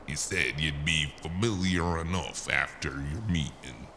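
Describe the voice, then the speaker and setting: Male actor doing underworld go-between, bottomless bass, unhurried, gravelly, businesslike, faintly menacing, noir audio drama. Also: sharp tone, a little hiss or hum, exaggerated voice. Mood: persuasive. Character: smooth-talking, businesslike underworld henchman, calm negotiator.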